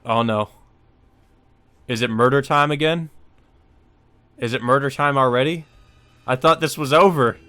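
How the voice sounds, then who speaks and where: A man's voice: mid-range voice, measured pace, pauses often, a bit bright. A man hosting a let's play, reading a visual novel aloud and adding casual asides.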